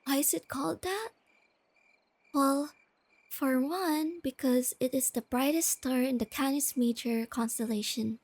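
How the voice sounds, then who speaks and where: A woman playing a shy, nerdy young girlfriend in a soft-spoken ASMR roleplay, sweet and earnest, explaining things gently and without hurry. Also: high-pitched, unhurried, no room sound. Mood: playful, fond.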